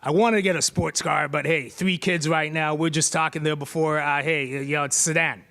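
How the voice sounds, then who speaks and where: Middle-aged male presenter, high-pitched, playful and chatty, live demo with handheld mic. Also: steady tone, brisk, gravelly, sharp tone. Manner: playful, chatty, jokes with the crowd. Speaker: presenter giving a tech demo. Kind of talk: live stage demo to an audience. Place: stage at a tech meetup.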